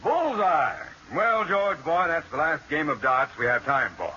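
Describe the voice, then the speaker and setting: Hearty banker boss, noisy recording. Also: male voice, on the high side, big pitch swings, unhurried. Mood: jovial. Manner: hearty, teasing, avuncular. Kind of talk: 1940s radio sitcom acting. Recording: very noisy, muffled old radio recording.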